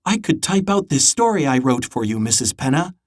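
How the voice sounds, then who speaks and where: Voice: medium pitch, big pitch swings, brisk. A narrator voicing eager, outspoken young schoolgirls in a children's storybook. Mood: wistful.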